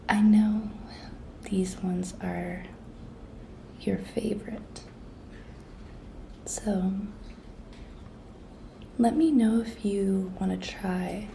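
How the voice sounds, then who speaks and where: A woman playing a cute, pushy young intern coaxing her boss in an intimate, breathy ASMR whisper, coy and teasing. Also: medium pitch, a little hiss or hum, full character voice. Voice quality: breathy whisper, soft-spoken. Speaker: ASMR roleplay performer.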